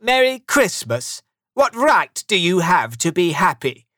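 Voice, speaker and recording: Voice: medium pitch, big pitch swings, a little slow, fairly bright tone, dry recording, studio quality, voice pushed up. A narrator acting out a grumpy, scornful old miser. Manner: scornful, emphatic, snapping retorts.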